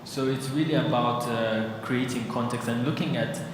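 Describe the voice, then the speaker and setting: A fashion designer speaking on a conference panel, thoughtful, with many fillers and restarts. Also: male voice, mid-range voice, steady tone, moderate pace. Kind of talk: panel discussion. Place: large conference hall on stage. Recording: very echoey hall with background noise.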